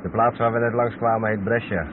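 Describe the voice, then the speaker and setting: A man's voice: lowish voice, steady tone, brisk, natural voice. A man playing a patient, knowledgeable truck driver explaining things in a radio drama. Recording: tinny old radio recording, loud background noise.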